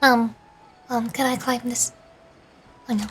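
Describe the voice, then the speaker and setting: A woman's voice: fairly high pitch, little pitch movement, unhurried, fairly bright tone. A woman chatting casually as she streams a video game. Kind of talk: casual gameplay chat. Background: game music.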